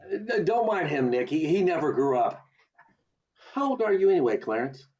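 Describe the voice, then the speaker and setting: A woman's voice: deep voice, sing-song, normal speed, mellow. A woman acting a bewildered, agitated everyman in a radio play, stammering and urgent, over a video call. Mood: concerned. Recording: dull, lo-fi video call audio.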